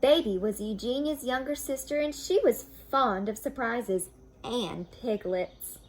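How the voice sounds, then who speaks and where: Expressive woman reading a storybook, slow and high. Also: poor audio. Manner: explains asides simply to young listeners. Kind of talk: expressive children's storybook read-aloud.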